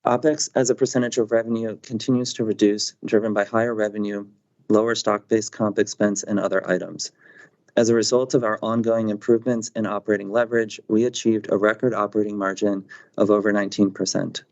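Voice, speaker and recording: Measured man reading financial results. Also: on the low side. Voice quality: steady, measured and businesslike. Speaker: company finance chief on an earnings call. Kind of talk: read prepared financial remarks. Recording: dull lo-fi conference call audio.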